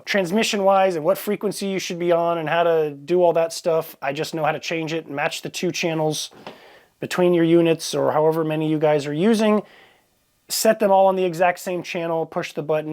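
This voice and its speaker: A man with a slightly high-pitched voice talks through a product review as the host. His delivery is casual and unscripted, plainspoken and matter-of-fact, like everyday conversation. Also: darkish tone.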